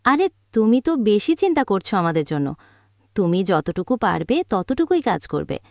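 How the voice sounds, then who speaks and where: A woman voicing a gentle, worried wife of a poor villager in a cartoon fairy tale, earnest and coaxing. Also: medium pitch, sing-song, moderate pace, dry recording, studio quality.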